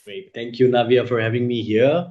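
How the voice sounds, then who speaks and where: A man from northeast India with an Indian accent, giving reflective, thoughtful interview answers as an author, measured and pausing mid-sentence. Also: mid-range voice, fairly even intonation. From Assam, northeast India. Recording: echoey room, dull muffled sound.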